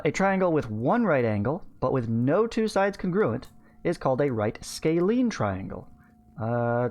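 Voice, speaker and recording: A man's voice: medium pitch, darkish tone. A man in his thirties teaching math, reading a textbook aloud and adding informal explanatory asides into a close microphone. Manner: informal, explanatory, often checking with "right?" and "okay". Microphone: close studio microphone on a stand.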